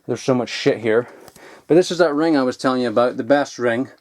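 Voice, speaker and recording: A man's voice: mid-range voice, fairly quick, no echo. A young man giving a casual, sweary, enthusiastic show-and-tell in an informal vlogging style. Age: young adult. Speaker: vlogger showing old toys. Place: loft at home, indoors.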